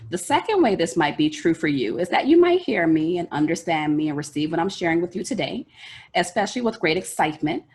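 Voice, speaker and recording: A woman from the Bahamas with a Bahamian accent leads a motivational lesson on life goals. Her voice sits low and deep. She talks earnestly and directly, and her pace often runs fast. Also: very animated, a little mellow. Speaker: life coach teaching a session. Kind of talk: motivational teaching talk.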